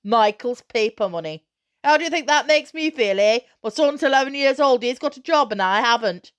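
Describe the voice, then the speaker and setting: A woman's voice: a little high, animated, normal speed, pitched above the performer's own voice, natural voice. A woman voicing an emphatic, colloquial working mother with a stubborn, emotional temper in a storybook reading. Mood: furious, bitter. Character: distraught, stubborn, angry working mother of a boy.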